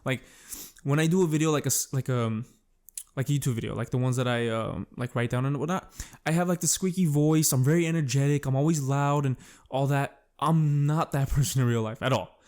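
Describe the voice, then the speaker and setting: A young man at a medium pitch talks on his own in a loose, rambling podcast monologue. He is self-conscious and swears freely as his thoughts wander and double back. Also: fairly quick, a little mellow. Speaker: podcast host. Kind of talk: casual solo podcast monologue.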